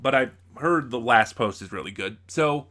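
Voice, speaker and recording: A man's voice: mid-range voice, lively intonation, moderate pace, pushed and bright. A man hosting a casual, unscripted review, talking in a rambling way with many asides and self-corrections. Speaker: host of a review channel.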